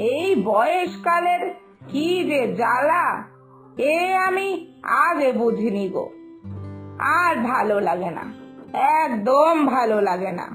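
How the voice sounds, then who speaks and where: An older village mother-in-law from a cartoon tale, played by a woman, full of grievance and complaint. Her voice is high and she draws her words out slowly, with faint guitar music behind her. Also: a bit bright, full character voice. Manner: plaintive, complaining, scolding. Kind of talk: cartoon story voice acting.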